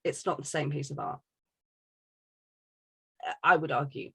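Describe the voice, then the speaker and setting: A woman's voice: low voice, fairly even intonation, a little slow, vocal fry, breathy edge. A woman talking casually and candidly, giving her opinions emphatically and swearing freely.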